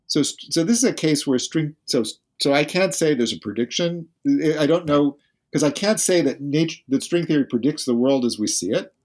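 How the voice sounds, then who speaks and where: A man's voice: fairly high pitch, normal speed, darkish tone. Man explaining physics, thinking aloud. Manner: thinks aloud, explains carefully with many restarts. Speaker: guest physicist. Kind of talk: podcast interview.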